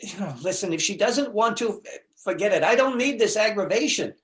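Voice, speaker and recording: A man's voice: high-pitched, expressive, a little fast. Guy playing fretful insecure widower, stage comedy, very up and down voice, stammery and self-deprecating. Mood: agitated. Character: neurotic, insecure, fretful widower facing a date. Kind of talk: comedy readers theater.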